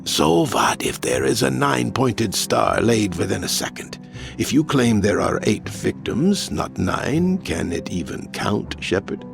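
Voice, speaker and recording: Imperious bishop, wildly swooping delivery. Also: male voice, on the low side, sing-song, a little slow, creaky, music in the background, exaggerated voice. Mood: impatient, dismissive. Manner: pompous, indignant, theatrical. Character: imperious, defensive male bishop, outraged authority. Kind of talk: dramatic audio fiction acting.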